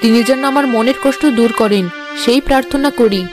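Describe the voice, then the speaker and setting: A woman playing a gentle, earnest, wistful queen in a fairy-tale cartoon. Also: fairly high pitch, brisk, music in the background, natural voice. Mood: sorrowful.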